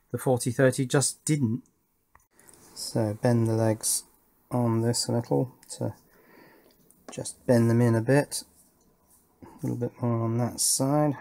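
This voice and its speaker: A man in his fifties giving casual, matter-of-fact commentary as he thinks aloud while assembling electronics at an indoor bench. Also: mid-range voice. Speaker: electronics hobbyist and video host. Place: indoors at a home workbench.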